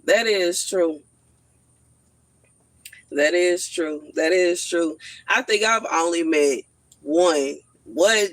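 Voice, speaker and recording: A woman in her thirties on a casual livestream, telling stories in an animated, slangy way and laughing often. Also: on the low side, no room sound. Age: 30s. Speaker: livestream host.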